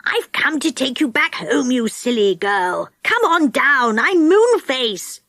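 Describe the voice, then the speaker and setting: A woman's voice: high voice, very animated, takes it slowly, fairly bright tone, no room sound, studio quality, full character voice. A woman voicing a brave, protective male fairy-folk friend in a children's story, speaking firmly.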